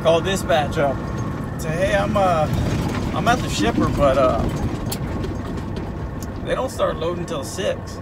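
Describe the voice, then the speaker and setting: A Texan man in his thirties talks through a laid-back, rambling story with plenty of "uh"s, his voice sitting a little high for a man. He sounds set back from the dashboard camera, and the loud engine and road roar of the truck cab run under every word. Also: measured pace, long pauses, recorded from a distance, heavy background noise. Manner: relaxed, rambling retelling with many fillers. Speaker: owner-operator truck driver vlogger. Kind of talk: casual storytelling vlog. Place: cab of a moving semi truck. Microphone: camera mounted on the dashboard.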